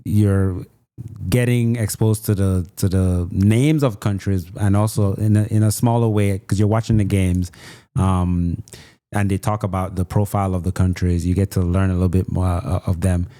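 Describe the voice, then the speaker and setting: A man's voice: deep voice, fairly even intonation, moderate pace, warm tone. A man from Nigeria hosting a podcast, chatting casually with his guest and nudging him along with frequent affirming murmurs. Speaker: podcast host. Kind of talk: casual interview chat.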